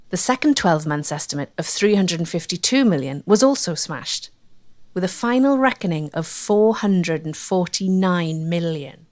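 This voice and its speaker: Low-pitched female documentary narrator. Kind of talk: scripted narration.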